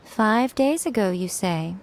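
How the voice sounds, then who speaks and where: A woman's voice: medium pitch, animated, measured pace, slight background noise. An actress voicing a sly, teasing shrine priestess in a video game, aloof, self-assured and playfully condescending, with music behind her. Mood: aloof, amused.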